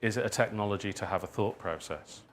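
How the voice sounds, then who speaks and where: A man answering audience questions as a writer at a talk, thinking aloud in a halting, self-deprecating way. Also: on the low side, a little hiss or hum. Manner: reflective, halting, hedging with self-deprecating asides. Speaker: guest speaker, writer and dramatist.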